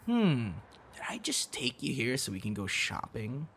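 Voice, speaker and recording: A man's voice: mid-range voice, huge pitch swings, unhurried, vocal fry, fairly bright tone, slight background noise, heavily acted. A young man acting a teasing, friendly boyfriend figure in an intimate, casual audio roleplay. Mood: casual, playful. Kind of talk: ASMR boyfriend roleplay, intimate one-sided dialogue.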